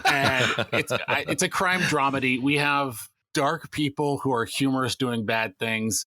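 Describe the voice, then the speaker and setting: A man game master on a podcast roundtable who talks in a jokey way with quick asides. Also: medium pitch, bright, forceful tone, dry recording.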